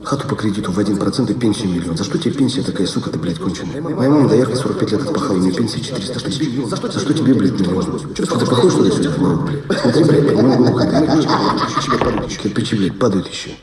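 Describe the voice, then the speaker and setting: Man acting bitter foul-mouthed labourer, haranguing, low pitch, rapid, distant echoing audio play recording. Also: animated, a little mellow, close to an everyday voice. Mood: furious, bitter. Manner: swearing, haranguing. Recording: very echoey, far from microphone.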